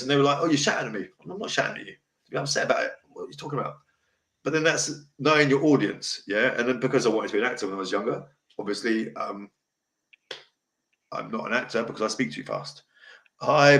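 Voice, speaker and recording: A middle-aged man with a deep voice hosts a casual livestream talk, blunt, emotional and rambling. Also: fairly bright tone. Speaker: host. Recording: echoey room.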